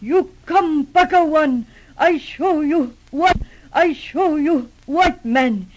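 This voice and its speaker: Young pleading girl, high pitched, really slow broken english, old radio show. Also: slight background noise, low-quality recording. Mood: earnest, pleading. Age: young adult. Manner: halting, simple broken phrases. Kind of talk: 1940s radio acting. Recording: old 1940s radio recording.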